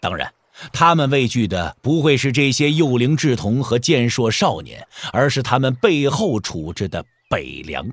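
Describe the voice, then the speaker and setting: A man's voice: mid-range voice, big pitch swings, normal speed, dry recording. A man narrating a wuxia audio drama in a dramatic, scripted storytelling style.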